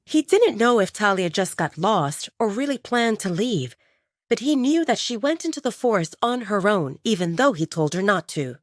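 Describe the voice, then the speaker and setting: Woman doing dramatic audiobook narration, medium pitch that moves around a lot, really dry close sound. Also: moderate pace, dry recording. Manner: expressive, dramatic storytelling. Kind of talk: dramatic scripted narration of a romance story.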